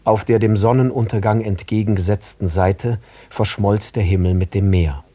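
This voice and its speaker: A man narrating literary prose for a radio drama in a husky voice. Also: lowish voice, steady tone, normal speed, dark tone, no room sound.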